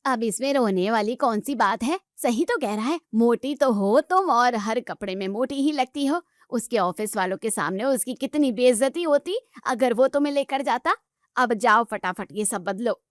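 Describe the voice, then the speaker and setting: A woman's voice: on the high side, animated, normal speed, no echo, studio quality, natural voice. A woman voicing a blunt, scornful middle-aged mother-in-law in an audio story, scolding and dismissive.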